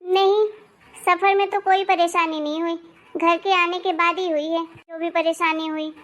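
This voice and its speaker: A woman voicing an irritable married daughter in an animated family story, sharp, bossy and sarcastic. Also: very high voice, measured pace, lo-fi sound. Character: irritable, bossy married daughter visiting her parents' home.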